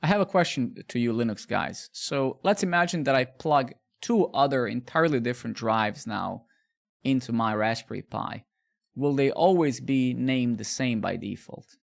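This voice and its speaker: A man with a gravelly edge to his voice, talking his viewers through a coding session. He is chatty and relaxed, restarting his phrases as he thinks aloud and answers their questions. His pitch sits mid-range for a man. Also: moderate pace. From Bosnia. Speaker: live coding streamer. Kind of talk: casual live-stream chat while coding.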